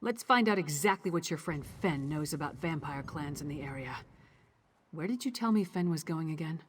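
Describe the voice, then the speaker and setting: A woman playing a tough, practical warrior in a fantasy video game, giving blunt orders in a husky voice. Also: sits low, expressive, normal speed, slight background noise. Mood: businesslike. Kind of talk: scripted video game dialogue.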